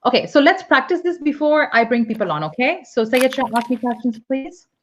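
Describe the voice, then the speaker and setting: A woman's voice: sits high, lively intonation, a little fast, a little hiss or hum. A woman from Pakistan teaching English on a live video call, chatty and explaining with examples and many fillers. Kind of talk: live online English lesson.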